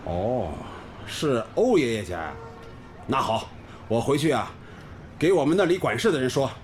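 An actor playing an easygoing, obliging village delivery driver in a radio drama, folksy and jovial. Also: male voice, mid-range voice, sing-song, normal speed, a little mellow, faint music behind, lightly acted. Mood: helpful. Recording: faint outdoor vehicle ambience behind.

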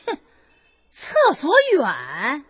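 Shrill, brusque landlady. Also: wildly swooping pitch, extremely slow, husky, muffled recording, heavily acted. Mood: impatient, scornful. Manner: brusque, scornful, pushy. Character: brusque, impatient female landlady, sneering and pushy. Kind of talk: radio drama acting.

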